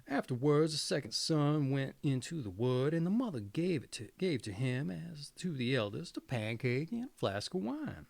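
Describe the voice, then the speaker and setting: A man's voice: a little high, sing-song, moderate pace, vocal fry, hardly any pauses, no room sound. A man reading fairy tales aloud as a storyteller, dramatic and folksy, sometimes correcting his own reading. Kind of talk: fairy tale narration, read aloud.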